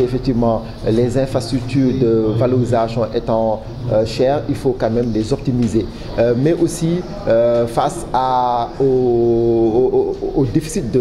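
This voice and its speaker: A man with a Senegalese accent giving formal interview answers as a project official, halting often and repeating words. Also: medium pitch. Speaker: official spokesman for a public waste management project. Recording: clearly noisy background ambience.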